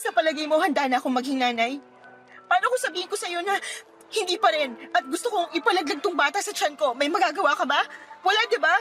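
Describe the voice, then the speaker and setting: Hurt, high-voiced pregnant young Filipina. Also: female voice, fast talker, a bit bright, faint music behind. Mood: hurt, indignant. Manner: emotional, pleading and reproachful. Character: hurt, pregnant young woman confronting her friend. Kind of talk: radio drama acting in Tagalog.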